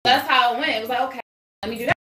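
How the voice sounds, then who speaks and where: A woman's voice: a little high, racing, sharp tone. Chatty woman in an echoey room. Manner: rambling, swears casually, many restarts. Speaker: guest singer. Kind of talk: casual podcast chat.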